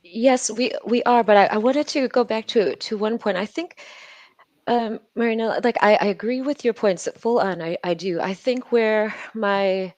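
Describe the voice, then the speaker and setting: A woman's voice: medium pitch, normal speed, darkish tone, no echo, lo-fi sound. A woman speaking earnestly as a panelist, often repeating words as she builds her points. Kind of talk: panel discussion answer.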